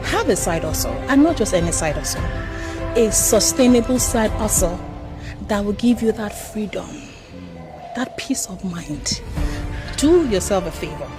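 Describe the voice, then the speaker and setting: A woman's voice: medium pitch, sing-song, measured pace. Persuasive woman from Nigeria. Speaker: online business owner giving a testimonial. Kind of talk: testimonial. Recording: background music.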